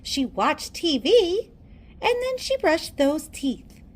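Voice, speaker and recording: A woman reading a children's storybook aloud in a theatrical, deliberate storytelling manner. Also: high voice, big pitch swings. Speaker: storybook reader. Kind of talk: children's read-aloud narration.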